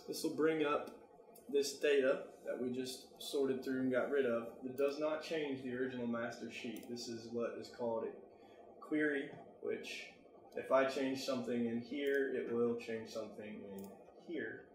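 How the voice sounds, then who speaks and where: Male tutorial presenter, American, informal think-aloud delivery, mid-pitched voice, roomy sound. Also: steady tone, unhurried, a little hiss or hum. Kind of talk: casual software tutorial narration.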